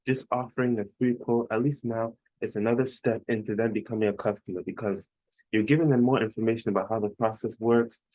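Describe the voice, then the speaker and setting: A man's voice: fairly low pitch, fairly even intonation, fairly quick, a little mellow, no echo, rough recording. A man presenting an explanatory screen-share tutorial as a consultant, instructing the listener directly. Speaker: web consultant presenting a case study.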